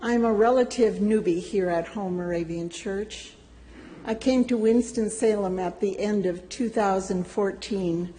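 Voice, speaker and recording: An older woman reading a prepared personal testimony to a church congregation, slow and deliberate with gentle humor. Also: mid-range voice, long reverb tail, poor audio. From United States. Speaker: church member giving a testimony. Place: church sanctuary.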